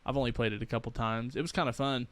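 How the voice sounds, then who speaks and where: A man's voice: medium pitch, fairly even intonation, racing, smooth. A young man co-hosting a casual podcast, laid back and swearing freely as he tells a story. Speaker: podcast co-host. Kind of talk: casual chat.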